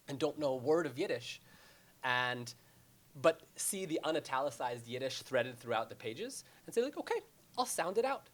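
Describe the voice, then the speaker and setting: A man on a writers' panel, talking in an animated, expressive way. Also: medium pitch. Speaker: panel author. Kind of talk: panel discussion.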